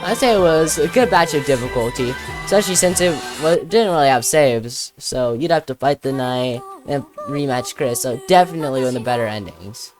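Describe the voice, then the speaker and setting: Female gaming creator, low voice, casual and jokey, given to mock-dramatic asides, clear music underneath. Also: animated, fairly quick, few pauses. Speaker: gaming video creator commenting on gameplay. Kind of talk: casual, playful gameplay commentary.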